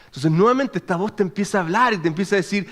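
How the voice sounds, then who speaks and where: A man in his thirties from Chile with a Chilean accent, preaching in a chatty, animated way and checking in with his listeners as he tells a personal story. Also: high voice, very fast. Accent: Chilean Spanish. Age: 30s. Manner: chatty and animated, frequent restarts and "¿ok?" check-ins. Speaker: pastor.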